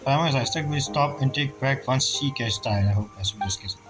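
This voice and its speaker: A man giving halting, think-aloud gameplay commentary as a streamer, with music playing behind him. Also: mid-range voice. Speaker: gaming streamer. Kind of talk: game commentary, reacting and narrating.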